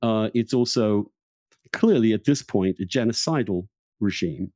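A man hosting a podcast, arguing a political point earnestly and deliberately, with some hesitation. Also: lowish voice, animated, a little mellow, no room sound, studio quality. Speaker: podcast host.

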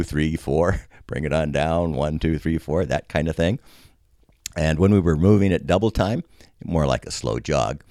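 Very deep, gravelly older American man. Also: darkish tone, dry recording, studio quality. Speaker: storyteller, army veteran. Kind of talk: personal reminiscence, podcast narration.

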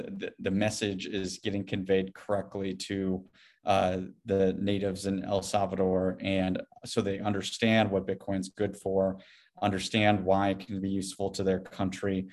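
Low-voiced man in casual conversation. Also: a little mellow. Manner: rambling, hedging with frequent "you know". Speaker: podcast guest, Bitcoin company CEO.

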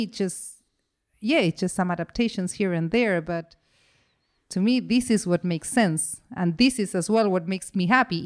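Interview guest, woman, lowish voice, earnest, restarts sentences. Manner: earnest, repeats "to me", restarts often.

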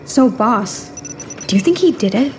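A woman's voice: a little high, animated, unhurried, a little mellow, slight background noise. An actress playing an eager, inquisitive young lab assistant in an audio drama, probing as she talks. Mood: pressing, curious. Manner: probing, pressing with questions. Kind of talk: full-cast audio drama acting.

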